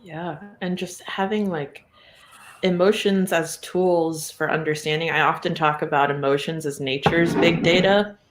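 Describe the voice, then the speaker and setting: A podcast guest with a mid-pitched voice, talking casually and reflectively in a meandering, thoughtful way with a readiness to chuckle. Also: fairly even intonation, a little slow. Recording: roomy, echoey sound.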